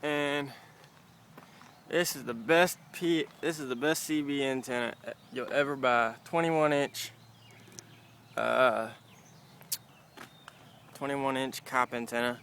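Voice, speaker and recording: A man talks slowly in a middling pitch, giving an informal show-and-tell on a handheld camera around his car. He speaks off the cuff like an amateur vlogger, with some light background noise. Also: fairly bright tone. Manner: informal, off-the-cuff, mild swearing. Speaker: amateur vlogger showing a car radio install. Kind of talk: casual handheld walkthrough. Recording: noisy handheld outdoor car recording.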